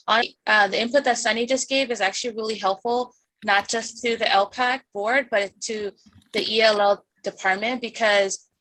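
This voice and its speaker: A woman's voice: mid-range voice, fairly bright tone, no room sound, rough recording. A woman from the United States, a parent on the board, talking eagerly in an online meeting, rambling and restarting her sentences. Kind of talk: casual online meeting talk. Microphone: video call.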